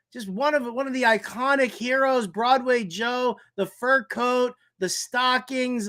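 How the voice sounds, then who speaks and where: A man's voice: sits high, pushed and bright. A man hosting a sports talk show, delivering theatrical, exasperated fan commentary with emphatic repeated phrases.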